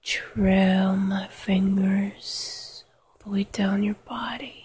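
A woman whispering an intimate, sensual erotic monologue to the listener in a breathy, drawn-out way. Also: mid-range voice, monotone. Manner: slow, sensual, drawn-out delivery with soft moans.

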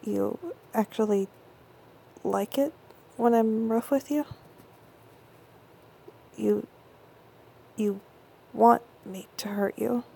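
A woman voicing an intense young girlfriend in an intimate audio roleplay, speaking very slowly in a husky, gravelly voice. Her tone is dark and mellow, and she drops into close, breathy whispers and halting stammers. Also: on the high side, exaggerated voice. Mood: tender, conflicted.

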